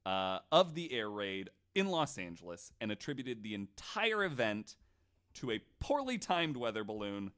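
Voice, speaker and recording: A man hosting a casual podcast, retelling stories to his guests in an animated, swearing way. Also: fairly low pitch, normal speed, clean tone. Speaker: podcast host.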